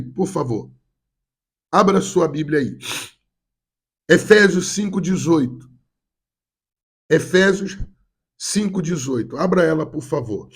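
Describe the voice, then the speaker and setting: A man's voice: a little high, slightly rough. A man from Brazil with a Rio de Janeiro accent preaching a sermon to a church, repeating and stressing his points, currently getting over a cold. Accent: Brazilian Portuguese, Rio de Janeiro. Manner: repetitive, emphatic preaching with direct address to the congregation. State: has a cold. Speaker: pastor.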